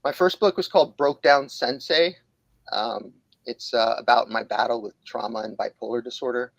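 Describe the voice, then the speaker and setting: A New York man in his late forties talks openly on a podcast, thinking aloud with plenty of pauses and fillers. His voice carries a gravelly texture and sits at an ordinary pitch. The sound is close and dry, with no room around it. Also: no echo, highs cut off. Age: 40s. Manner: candid, reflective, hesitant with fillers. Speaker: guest, jiu-jitsu instructor and author. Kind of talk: podcast interview.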